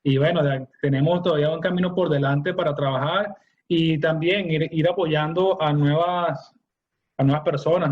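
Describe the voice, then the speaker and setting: A man hosting a conversational interview, speaking haltingly and repeating words as he goes. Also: fairly high pitch, muffled recording. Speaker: interviewer, host.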